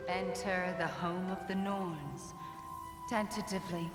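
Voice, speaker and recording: A woman's voice: lowish voice, steady tone, a little slow, close to an everyday voice. A woman playing an eerie, aloof fate spirit in a video game, narrating with detached deliberation in long reverb over music. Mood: detached, ominous. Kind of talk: dramatic video game acting.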